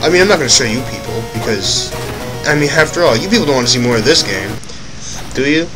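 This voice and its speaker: A man's voice: medium pitch, expressive, fast, low-quality recording. A young man giving casual, rambling commentary on his own gameplay, often correcting himself mid-sentence. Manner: rambling, self-correcting, offhand asides. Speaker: let's play commentator. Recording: game music loud behind voice. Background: game music.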